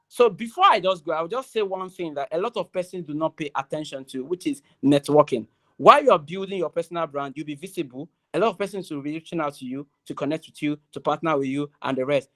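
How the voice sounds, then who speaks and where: A young adult with a Nigerian accent giving a motivational speech at a graduation ceremony, emphatic and loud, repeating key phrases. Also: mid-range voice.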